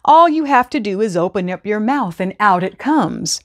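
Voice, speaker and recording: A woman's voice: mid-range voice, animated, moderate pace, dry recording, natural voice. Lady doing a talking skull in a kids story, dry and bored, kinda wise. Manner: uninterested, matter-of-fact.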